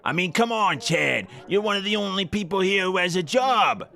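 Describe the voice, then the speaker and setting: A fairly high, sharp male voice with a creaky edge played by an actor. He is an irritable mascot who gripes and confronts in an audio comedy. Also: expressive, moderate pace, exaggerated voice. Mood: indignant. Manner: griping, confrontational. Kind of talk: comedic audio drama acting.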